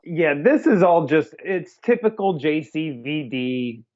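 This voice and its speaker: A man's voice: a little high, very animated, unhurried, darkish tone, a little hiss or hum. A man co-hosting a casual movie review podcast, riffing sarcastically and swearing freely. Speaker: podcast co-host. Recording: slightly dull lo-fi audio.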